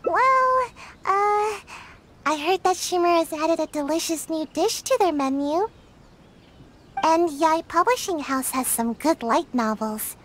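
A woman's voice: very high voice, lively intonation, measured pace, a bit bright, a little hiss or hum, exaggerated voice. A woman voicing a small, sleepy young girl ninja in a video game, reluctant and evasive, with a drowsy, hesitant manner. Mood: nervous, evasive. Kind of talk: video game character dialogue.

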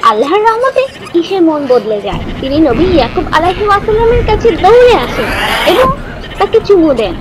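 A woman narrating a scripted children's story in a clear, instructive manner. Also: extremely high pitch, very animated, fairly quick, a crowd in the background, noisy background. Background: background music.

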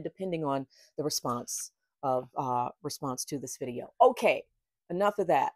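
A middle-aged woman reading aloud from her own book in a measured, deliberate way, with casual asides. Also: medium pitch, a little mellow, no echo, clean studio sound. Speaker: author and tarot reader. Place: small book-lined room indoors.

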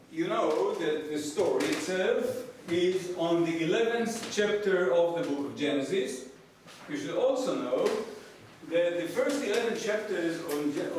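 A man in his sixties with an Israeli accent lectures in a very echoey room, heard from well away. His voice sits fairly high, and as a native Hebrew speaker he delivers his material with wry, deadpan humor. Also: far from the mic. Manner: wry, joking asides in a deadpan way. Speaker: professor, guest lecturer. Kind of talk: public lecture. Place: small lecture room with seated audience, indoors. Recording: echoey room from afar, noisy background.

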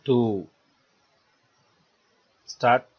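Dull-sounding man teaching software. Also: mid-range voice, extremely slow, breathy edge. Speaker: software tutorial instructor. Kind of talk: step-by-step screencast tutorial narration. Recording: dull lo-fi voice-over recording.

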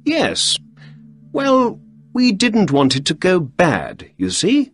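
A man doing a bright, brisk detective. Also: high voice, wildly swooping pitch, husky, voice pushed up, heavily acted. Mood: apologetic. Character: clever brisk male detective, middle-aged.

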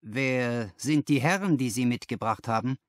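A man voicing a haunted male company boss in an audiobook, strained and halting, forcing politeness and cheer. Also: medium pitch, no room sound, close to an everyday voice. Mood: shaken. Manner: halting, repetitive, forced cheer.

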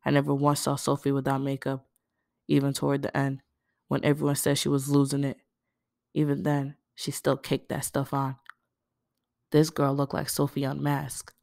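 A woman's voice: low voice, vocal fry, a little mellow, dry recording, studio quality. A woman reading a first-person novel aloud as scripted narration. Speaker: audiobook reader.

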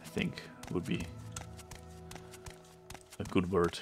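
A man with a German accent, a non-native English speaker, giving chatty let's-play commentary and sometimes pausing to search for a word. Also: low-pitched, lively intonation, music in the background. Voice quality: gravelly. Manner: chatty, pauses to search for words. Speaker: gameplay streamer.

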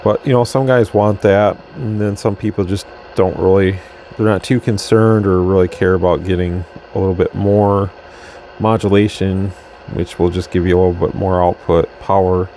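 Man, very deep voice, gravelly, relaxed rambling, workbench demo. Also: moderate pace, a little hiss or hum, low-quality recording. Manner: relaxed, rambling, talks while adjusting gear. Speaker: radio reviewer. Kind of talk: casual product demo. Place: workbench with radio equipment, indoors.

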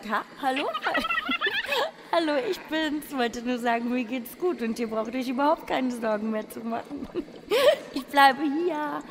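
Giddy high-voiced caller, noisy line. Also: female voice, animated, unhurried. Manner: chirpy, giggly. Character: giddy, carefree dead woman phoning heaven. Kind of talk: radio play acting. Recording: noisy crackling phone line, long reverb.

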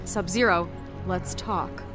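A woman's voice: fairly low pitch, steady tone, slow, music in the background. A woman playing a tough, brash young soldier in a video game, blunt and combative in delivery. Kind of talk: cinematic video game acting.

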